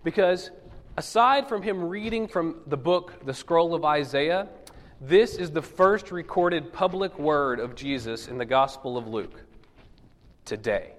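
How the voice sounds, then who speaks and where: A male pastor delivers a conversational sermon while fighting off a cold, his naturally higher voice pushed down into a husky rasp. He keeps things loose with jokes and friendly asides to the congregation. The sound carries a long reverb from the church sanctuary. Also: unhurried. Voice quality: lowered, husky voice from illness. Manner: joking, chatty asides to the congregation. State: sick with a cold.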